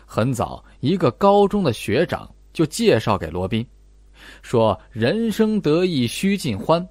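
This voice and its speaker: A man reading expressive scripted narration as an audiobook narrator. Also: on the high side, no echo.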